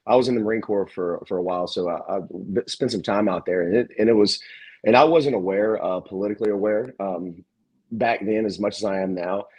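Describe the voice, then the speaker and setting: A man from South Louisiana, a podcast guest talking in an easygoing, halting way. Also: lowish voice, a little mellow, breathy edge, muffled recording. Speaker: gym owner guest. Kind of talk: casual conversation.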